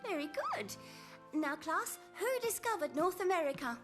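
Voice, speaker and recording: A woman voicing a prim, strict and theatrical substitute schoolteacher in an animated comedy. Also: extremely high pitch, normal speed, over loud music. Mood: prim, cheerful.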